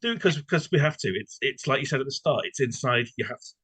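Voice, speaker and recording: A man hosts a chatty interview, circling toward his questions with hesitations and repeated words. His voice sits fairly low, with a rough edge and a bright, forceful tone. The sound is close and dry. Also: lively intonation, fast talker, no room sound. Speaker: podcast host, interviewer. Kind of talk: conversational interview.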